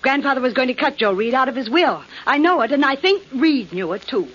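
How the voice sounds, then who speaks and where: An actress playing an insistent young heiress in a 1940s radio drama, with stubborn, emphatic delivery. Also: female voice, high-pitched, expressive, a little fast, breathy edge. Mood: determined. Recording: dull, noisy 1940s radio recording.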